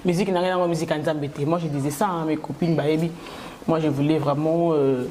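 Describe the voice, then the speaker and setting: A young woman from Kinshasa, a singer being interviewed, with a low, mellow voice. She talks hesitantly, pausing often. Faint noise sits behind her. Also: steady tone. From Congo (Kinshasa). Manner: hesitant, many pauses and laughs. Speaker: singer and guest. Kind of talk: casual interview.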